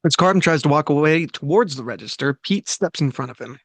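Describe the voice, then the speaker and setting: A man's voice: on the high side, lively intonation, fairly quick, fairly bright tone, no room sound. A man reading the stage directions aloud at a script table read, with the odd stumble and laugh. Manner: reads stage directions with self-corrections and laughs.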